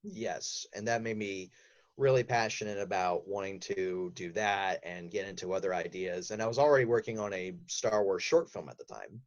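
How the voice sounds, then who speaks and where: A man's voice: low-pitched, normal speed. Man, slightly dull lo-fi audio. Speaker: podcast guest, voice actor and audio drama writer. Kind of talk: casual interview conversation.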